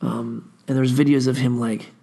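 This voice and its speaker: A stuffed-up man rambling through a loose, profane solo monologue, tossing in fillers as he wanders. His voice is gravelly and husky, with fry at the ends of phrases. He sounds congested, like he's fighting a cold. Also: mid-range voice, level tone, normal speed, dark tone. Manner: casual, profane, wandering storytelling with frequent fillers. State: stuffy nose, under the weather. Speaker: podcast host.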